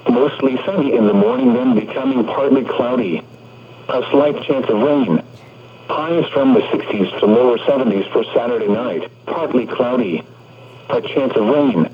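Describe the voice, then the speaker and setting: A synthetic male voice reading an automated forecast as a weather radio announcer, in impersonal, measured, clipped phrases over a radio broadcast. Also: medium pitch. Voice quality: synthetic text-to-speech voice. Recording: muffled, noisy weather radio reception.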